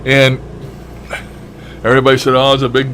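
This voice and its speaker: A man with a mid-range voice rambles casually to his listeners. His delivery is folksy and blunt, with some mild swearing. A faint steady noise sits behind him. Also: medium pitch, brisk, low-quality recording. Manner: folksy, blunt, mildly profane. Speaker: shortwave radio hobbyist vlogger.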